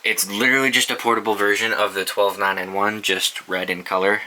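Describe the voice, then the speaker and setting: A man's voice: on the low side, level tone, brisk, noisy background. A young man casually reviewing his collection of weather radios, offhand and swearing now and then, with many ums and restarts. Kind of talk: casual show-and-tell review.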